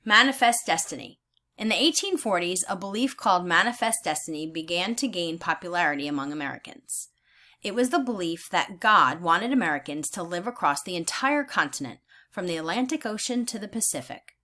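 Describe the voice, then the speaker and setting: A woman teacher reading aloud in a deliberate, instructional way, pausing to explain her thinking as she goes. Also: fairly low pitch, lively intonation, bright, forceful tone. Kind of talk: read-aloud lesson with think-aloud comments.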